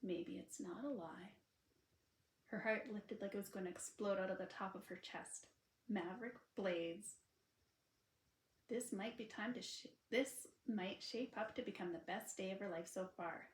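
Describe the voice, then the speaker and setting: A woman author reading her own romance novel aloud in an expressive, informal storytelling style. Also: medium pitch, normal speed. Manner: informal asides to listeners between passages. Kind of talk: expressive audiobook narration of a sweet romance. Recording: unedited roomy home recording.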